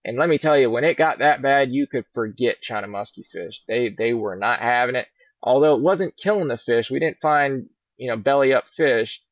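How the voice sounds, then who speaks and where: Gravelly man on a muffled video call. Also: mid-range voice, normal speed, dry recording. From Virginia. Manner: easygoing, rambling storyteller. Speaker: fishing guide. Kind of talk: casual podcast interview. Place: large indoor boat showroom. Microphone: laptop webcam on a video call.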